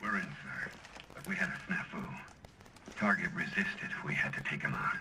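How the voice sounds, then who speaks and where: An actor playing a stern, disciplined squad leader in a video game, clipped and commanding. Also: male voice, a bass voice, huge pitch swings, normal speed, full character voice. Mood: terse reporting. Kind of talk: video game cutscene acting. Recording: distant, loud noise and clicks.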